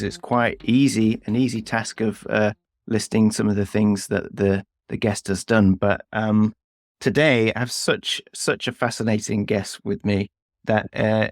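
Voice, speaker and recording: A man's voice: on the low side, no echo, studio quality. A man hosting a podcast, introducing his guest and asking questions in an earnest, hesitant way with many fillers. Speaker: podcast host. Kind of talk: interview hosting.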